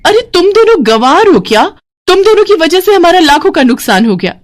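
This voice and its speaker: A woman acts a city-bred sister-in-law in an animated moral tale. Her voice is high and sharp. She talks down scornfully, in a scolding manner. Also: fairly quick. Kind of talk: animated moral story character voice.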